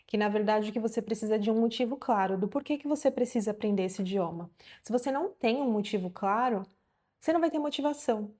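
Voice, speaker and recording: A woman's voice: mid-range voice, fast, mellow. A woman in her thirties from Brazil, talking casually to camera in an encouraging, chatty way with many fillers. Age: 30s. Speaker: vlogger.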